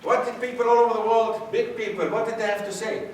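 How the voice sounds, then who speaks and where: Male guest lecturer, fairly high voice, quizzes the crowd, recorded far off in a very reverberant hall. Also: fairly even intonation, fast talker, a little hiss or hum. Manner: questions the audience, repeats key points for emphasis. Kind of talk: university society lecture.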